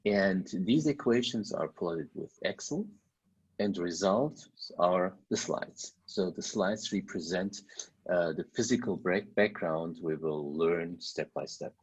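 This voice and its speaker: Deep slow halting lecturer. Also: male voice, slightly rough, poor audio. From Germany. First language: German. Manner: halting, searching for words, many fillers. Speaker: university lecturer. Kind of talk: online university lecture.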